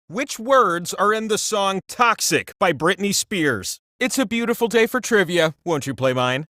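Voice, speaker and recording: A man's voice: sits high, a bit bright, dry recording, clean studio sound, full character voice. A man voicing an immortal talking game-show wheel, a boastful, campy and playful trivia host with theatrical delivery. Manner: playful, showy, self-important asides.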